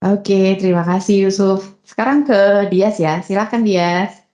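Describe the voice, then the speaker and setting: A woman's voice: medium pitch, steady tone, unhurried, dark tone, roomy sound. A young woman hosting a podcast interview, chatting casually with lots of fillers. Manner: chatty, many fillers and "gitu ya". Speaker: podcast host.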